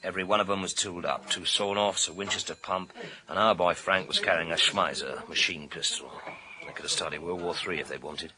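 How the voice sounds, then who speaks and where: A hard-driving British detective inspector in a radio crime drama. His voice is low and hard-edged, and he talks in blunt, commanding copper's slang. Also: fairly even intonation, brisk, pushed and bright. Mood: businesslike. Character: driven, blunt male police inspector leading a murder hunt. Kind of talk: radio thriller acting.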